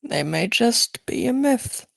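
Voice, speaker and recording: A patient cartoon schoolteacher acted by a woman, explaining things slowly and gently brushing off doubts. The recording is dry and close, with a mid-range voice. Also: fairly high pitch, sing-song, gravelly, no echo, heavily acted. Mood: gently dismissive. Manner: measured, explanatory. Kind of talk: scripted cartoon fan voice acting.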